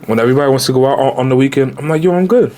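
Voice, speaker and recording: A man in his late twenties, a travel vlogger giving casual, animated budget travel advice straight to viewers, with slang. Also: medium pitch, racing, slight background noise. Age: 20s.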